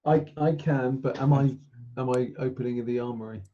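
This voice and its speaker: Mellow male gamer, dull lo-fi recording. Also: medium pitch, steady tone, moderate pace. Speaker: tabletop game player. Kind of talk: casual game chat.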